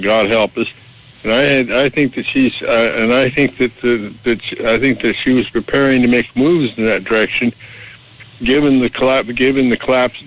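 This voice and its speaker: An American man phoning a radio show with his opinions, halting and stammering as he repeats his words. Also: medium pitch. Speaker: radio phone-in caller. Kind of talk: political opinion call. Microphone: telephone. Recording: muffled call over shortwave radio.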